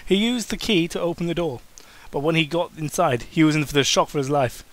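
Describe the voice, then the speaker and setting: A man's voice: on the high side, brisk. A man giving a comic amateur dramatic reading of fan fiction, informal and prone to mocking asides. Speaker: amateur narrator.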